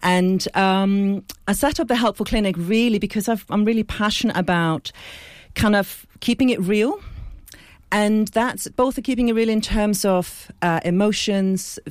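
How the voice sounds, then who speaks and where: A woman's voice: medium pitch, unhurried, smooth, dry recording. A woman from Iceland, a clinic founder speaking as a radio studio guest, thoughtful and earnest, explaining her ideas through everyday analogies. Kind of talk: studio interview.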